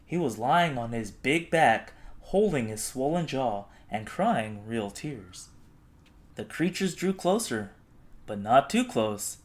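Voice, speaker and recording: A man narrating a children's storybook expressively, with dramatic, deliberate phrasing. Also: mid-range voice, sing-song. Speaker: storybook reader.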